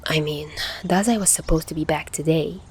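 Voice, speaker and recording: A woman's voice: low-pitched, moderate pace, slightly rough. Woman as a hot-tempered, blustering schoolboy. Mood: moping. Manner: blunt, swearing, blustering. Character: hot-tempered, stubborn, easily embarrassed teenage schoolboy.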